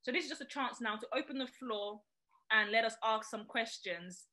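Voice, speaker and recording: A woman hosts a webinar from a video call and moderates questions in a friendly, brisk way. Her voice is bright and crisp and sits fairly high. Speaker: webinar host. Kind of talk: hosting a webinar Q&A.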